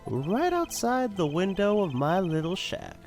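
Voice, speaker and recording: A man voicing a forgetful, folksy old boat renter as a halting, rambling witness in an amateur game dub. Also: high voice, huge pitch swings, a little mellow, faint music behind, exaggerated voice. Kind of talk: amateur video game fandub acting.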